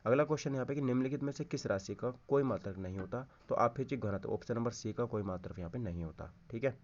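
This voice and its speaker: Low-voiced man teaching, dull recording. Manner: repeats key answers, frequent check-in tags, addresses listeners directly; mixes Hindi with English terms. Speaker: exam-prep physics teacher. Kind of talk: informal lesson, going through quiz questions.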